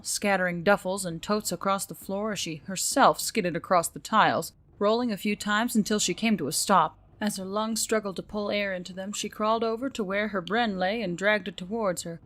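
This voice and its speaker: A woman's voice: medium pitch, steady tone, fairly quick, hardly any pauses, fairly bright tone. A woman reading scripted story narration as the narrator, with music behind her.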